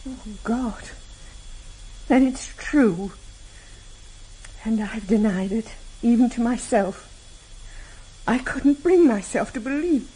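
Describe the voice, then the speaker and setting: An actress in a vintage radio drama playing a loving, betrayed wife, speaking haltingly with pleading emotion. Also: female voice, fairly high pitch, mellow, slightly rough, rough recording. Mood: hurt, pleading.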